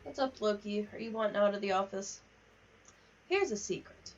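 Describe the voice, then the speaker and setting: Female game streamer, mid-pitched, wry and sweary casual chatter, slightly distant, muffled home mic. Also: moderate pace, a little hiss or hum. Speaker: livestream host. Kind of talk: casual gaming livestream chat, reading in-game letters aloud. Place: home office.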